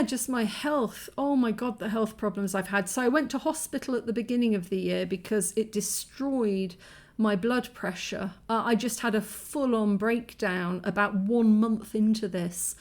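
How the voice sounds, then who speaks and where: A woman vlogging to camera, exhausted from lack of sleep, her voice croaky and strained as she rambles in frustration with many ums and restarts. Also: fairly high pitch. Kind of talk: candid talking-head vlog.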